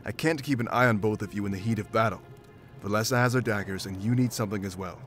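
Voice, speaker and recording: A man's voice: fairly low pitch, fast, a bit bright, soft background music, lightly acted. Stern protective wolf warrior, voiced by a man. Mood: stern, insistent. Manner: firm, commanding. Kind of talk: video game voice acting.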